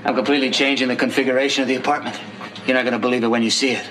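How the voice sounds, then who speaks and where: An actor playing an eccentric man excitedly planning to remake his apartment, in a sitcom scene. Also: mid-range voice, racing, natural voice. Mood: enthusiastic. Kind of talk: sitcom acting. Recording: sitcom clip with studio audience laughter.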